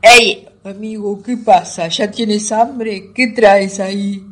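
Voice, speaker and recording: A woman's voice: medium pitch, fairly even intonation, takes it slowly, close to an everyday voice. A woman in amateur radio theatre playing a stressed, irritable young man who grumbles in exasperation. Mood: weary grumbling. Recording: audible background noise.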